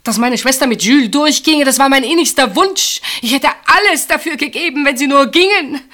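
A woman's voice: sits high, expressive. An actress in a German radio play, playing an anguished woman secretly in love with her sister's husband, intense and dramatic. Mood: bitter, impassioned. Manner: intense, dramatic, swinging from outcry to hushed halting speech.

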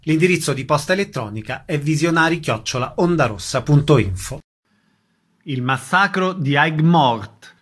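A man's voice: a little high, expressive, moderate pace, fairly bright tone, breathy edge. A man reading scripted radio announcements.

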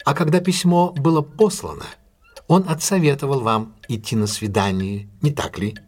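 An actor playing a confident, courteous male detective in an audio drama, speaking in a measured, deliberate and formally polite way. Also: mid-range voice, a little mellow, natural voice. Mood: calm, probing. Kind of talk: audio drama acting, classic detective story.

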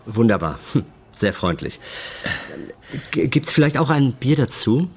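A folksy policeman in a radio play, husky and eager to please. His hesitant speech moves up and down a lot. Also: medium pitch, big pitch swings, a little slow, exaggerated voice. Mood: hesitant, grateful. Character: deferential, folksy policeman on guard duty. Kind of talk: German radio drama acting.

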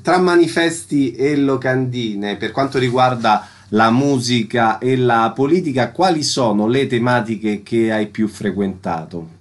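A man's voice: medium pitch, a little slow, few pauses. A man hosting a radio interview, speaking in prepared questions and short announcements.

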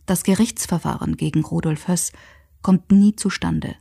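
A woman narrates a historical radio documentary in a sober, measured way. Her voice is mellow and slightly low, with marked creak, and her delivery is factual and scripted.

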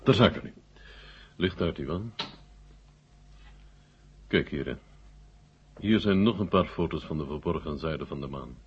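An actor playing a proud, businesslike Soviet marshal in a 1970 radio drama, speaking with measured, formal authority. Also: male voice, rumbling low, slight background noise. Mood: proud, commanding. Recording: dull vintage 1970 radio recording.

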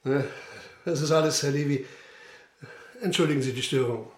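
Husky, sober police inspector. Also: male voice, a little high, moderate pace, darkish tone, no room sound. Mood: curt, probing. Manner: curt, matter-of-fact, understated. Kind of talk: radio drama acting with first-person narration.

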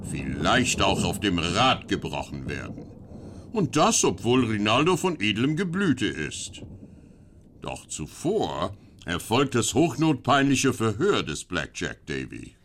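A man's voice: lowish voice, huge pitch swings, slow pace, fairly bright tone, a little hiss or hum, exaggerated voice. A man narrating a scripted radio play about robbers in a wry, storytelling manner. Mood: wry, declamatory.

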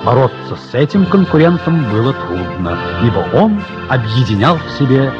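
A man's voice: mid-range voice, sing-song, normal speed, highs cut off, music in the background. A man giving scripted, dramatic literary narration for a radio play.

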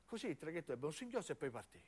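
A man's voice: fairly high pitch, very animated, very fast, slightly rough. A man with a southern Italian accent narrating a first-person story as a stage actor, in lyrical, repeating phrases.

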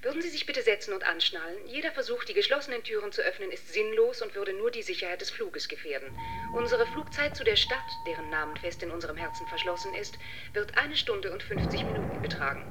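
A woman playing an impersonal automatic onboard computer in a science fiction radio drama, making formal, measured announcements. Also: mid-range voice, lively intonation, a bit bright, faint music behind, natural voice. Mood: impersonal, official.

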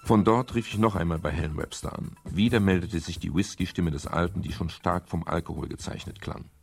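An actor playing a pensive, matter-of-fact private detective in a German radio crime drama, speaking in a measured, sober way. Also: male voice, low voice, creaky, husky, dry recording, soft background music, exaggerated voice. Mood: sober, tense. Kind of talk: scripted first-person radio crime narration.

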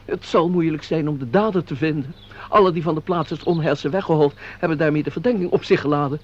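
An actor playing a formal, obsequious office clerk with a mannered, theatrical delivery in a Dutch radio play. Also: male voice, a little high, quick pace, natural voice. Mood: formal, measured. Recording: dull, noisy old radio recording.

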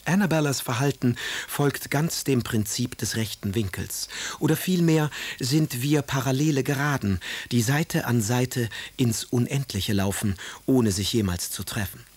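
An actor playing a witty, melancholy young poet in a radio drama, reading letters and verse in an ironic, theatrical manner. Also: male voice, medium pitch, measured pace, hardly any pauses, breathy edge. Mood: ironic, arch.

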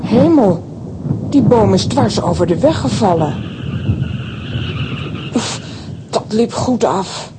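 A woman acting an excitable, exclamatory female character in a children's audio drama. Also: slow, pauses often. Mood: alarmed. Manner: theatrical, exclamatory. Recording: faint noise and low rumble.

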